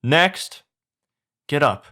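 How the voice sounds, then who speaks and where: A man's voice: fairly high pitch, sing-song, extremely slow, pushed and bright, pitched above the performer's own voice, exaggerated voice. A man voicing a curt, impatient, bossy head guard who barks short orders.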